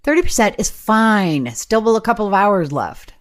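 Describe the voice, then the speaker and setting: An actress playing a touchy, sarcastic time-travelling camera operator in conversational radio play dialogue. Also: female voice, mid-range voice, lively intonation, normal speed, close to an everyday voice. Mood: sardonic, irritated.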